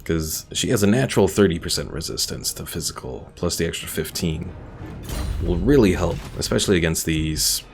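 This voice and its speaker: A man's voice: deep voice, expressive, moderate pace, creaky. A man giving casual live commentary as a game streamer, chatty and thinking aloud. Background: game music.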